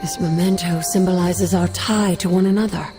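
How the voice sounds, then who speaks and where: A woman's voice: lowish voice, steady tone, normal speed, warm tone, soft background music, natural voice. An actress playing the ghost of a stern but caring older woman mentor in a video game cutscene, speaking formally and with command. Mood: solemn.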